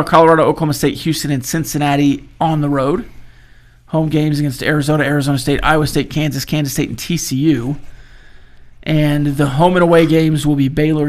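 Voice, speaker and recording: Sports talk co-host: man, slightly high voice, lively and chatty. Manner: animated, rattles off schedules, banter. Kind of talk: casual sports chat.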